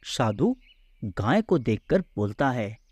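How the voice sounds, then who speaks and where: A man narrating a scripted children's story in an expressive, deliberate storyteller's manner. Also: mid-range voice, slightly rough, dry recording.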